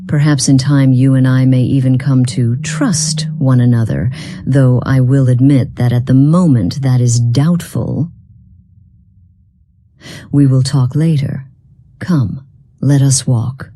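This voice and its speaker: A woman voicing an enigmatic, proud, long-lived part-human being in a fantasy game, speaking formally and deliberately in archaic phrasing. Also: rumbling low, fairly even intonation, dark tone, no echo, studio quality, full character voice. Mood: courteous, reserved. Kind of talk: scripted fantasy game dialogue.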